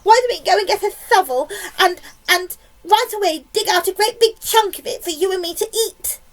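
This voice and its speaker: A woman voicing a greedy, excitable aunt in a storybook, gushing and crying out. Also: extremely high pitch, moderate pace, pitched above the performer's own voice. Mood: greedy, eager. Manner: shrieking, gushing exclamations.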